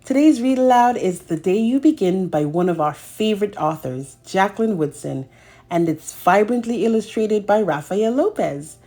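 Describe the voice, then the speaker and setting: A woman's voice: medium pitch, expressive, normal speed, mellow. A woman hosting a children's read-aloud, telling a picture-book story expressively and speaking directly to young listeners.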